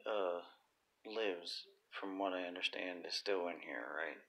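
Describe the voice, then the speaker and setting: A raspy, gravelly man talks at a slow, unhurried pace on his own stream. His voice sits a little low, and he stops often with fillers and restarts. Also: sharp tone. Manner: halting, many fillers and restarts. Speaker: YouTuber. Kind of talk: casual livestream talk.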